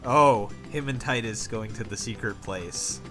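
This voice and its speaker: A man's voice: on the low side, unhurried, fairly bright tone, soft background music. A man co-commentating on a video game, bantering casually with sarcastic wit.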